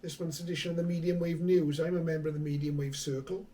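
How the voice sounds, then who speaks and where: A man's voice: fairly high pitch, fairly even intonation, quick pace, dark tone, far from the mic, slight background noise. A man presenting a hobby video in a casual, conversational explaining style, with some hesitant thinking aloud. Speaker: hobby radio vlogger, presenter. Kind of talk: casual tech explainer to camera.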